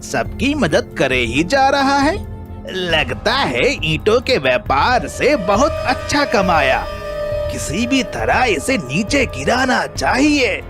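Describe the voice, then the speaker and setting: A man voicing a jealous, scheming village chief in a children's story, grumbling in drawn-out phrases. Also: high voice, very animated, music in the background, exaggerated voice.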